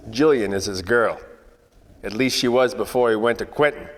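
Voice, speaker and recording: A man's voice: a little high, a little hiss or hum, lightly acted. A man playing a wisecracking 1940s private detective, narrating in wry slang in a noir radio play.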